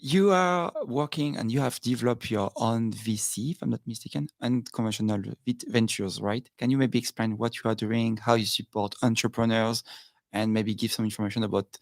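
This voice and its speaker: A man hosts an interview in a friendly, halting way, filling pauses with ums and uhs. His voice has a gravelly edge, mid pitch, and the recording is dry and close. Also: no room sound. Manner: friendly, halting, many fillers. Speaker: podcast host. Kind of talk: interview hosting.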